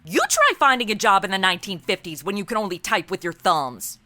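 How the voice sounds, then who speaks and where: A woman's voice: mid-range voice, lively intonation, brisk, sharp tone. A woman playing a bubbly, tactless young amateur sleuth in a comedy audio drama, blurting things out in a gushing, flippant way.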